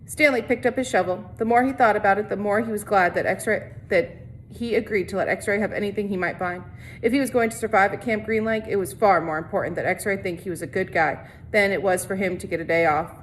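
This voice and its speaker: A woman's voice: medium pitch, brisk, slight background noise. A woman reads a story aloud in an informal way, now and then stumbling and rereading a phrase. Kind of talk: read-aloud story narration with brief casual asides.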